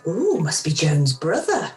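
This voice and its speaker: A woman's voice: low-pitched, wildly swooping pitch, takes it slowly, exaggerated voice. A woman acts a dry, exasperated woman in a homemade radio comedy. She takes her time, with big exclamatory swings in her voice. The video-call recording sounds echoey, with faint music behind. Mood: gossipy. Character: Frankie, exasperated, sarcastic woman, easily scandalised. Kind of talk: amateur radio play acting. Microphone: video call from home.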